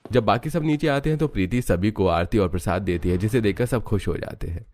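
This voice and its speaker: A man's voice: lowish voice, a little fast, darkish tone. A man narrating a scripted moral story as its storyteller.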